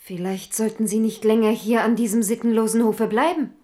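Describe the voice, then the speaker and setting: A woman plays a young princess in a radio drama. Her voice is on the high side, and she carries herself with pride and spirit. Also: steady tone, fairly quick, mellow, natural voice. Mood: polite, guarded.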